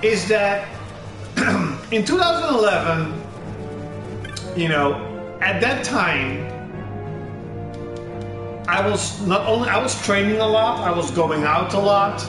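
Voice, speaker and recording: A man's voice: sits high, lively intonation, slow, long pauses. A young Dutch man with a Dutch accent, rambling in a casual personal monologue and swearing often, with many fillers and restarts. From Netherlands. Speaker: gaming channel host. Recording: roomy echo with music underneath.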